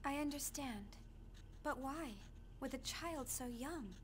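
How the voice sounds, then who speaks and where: An actress playing a gentle, earnest young woman engineer in a video game cutscene, polite and tentative. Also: high-pitched, takes it slowly, slight background noise. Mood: puzzled, concerned.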